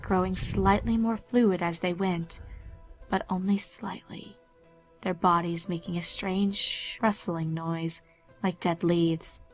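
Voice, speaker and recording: A woman narrates a scary story in a fairly low voice. Her delivery is dramatic and scripted, kept within an even, narrow range. Faint music sits behind her. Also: slow pace.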